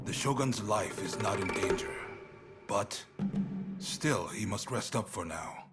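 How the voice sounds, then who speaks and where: A man acting a shrewd, grave samurai commander in a video game cutscene, speaking in a measured, resolute way. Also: lowish voice, loud music behind the voice, natural voice.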